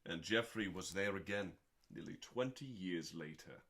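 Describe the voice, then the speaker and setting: A man with a fairly low voice reads from a book as the host of a reading show. His delivery is deliberate and theatrical, with pauses and stretched words, meant as calming listening for bedtime.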